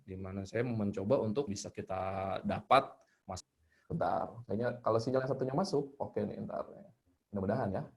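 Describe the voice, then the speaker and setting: A man's voice: lowish voice, fairly quick, a little mellow, breathy edge. A man giving a casual online talk as a guest storyteller, informal and hesitant as he searches for words. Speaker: guest storyteller sharing tips. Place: online live video call.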